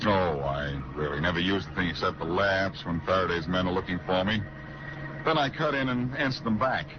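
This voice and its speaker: Muffled old radio drama: actor as a wisecracking, unflappable American male sleuth, low-pitched with lively swings. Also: brisk, natural voice. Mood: easy, confident. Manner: light teasing banter. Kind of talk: 1940s radio acting. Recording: muffled, faintly noisy 1940s radio recording.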